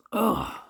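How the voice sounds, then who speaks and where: A puzzle instructor who has lost their voice, whispering hoarsely while talking through a puzzle solution and repeating rule phrases while counting cells aloud. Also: high-pitched, darkish tone.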